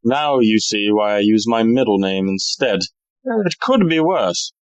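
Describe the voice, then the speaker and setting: A woman's voice: rumbling low, lively intonation, a little slow, no echo, rough recording. A woman playing an earnest, polite young man in an audio play. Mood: wry.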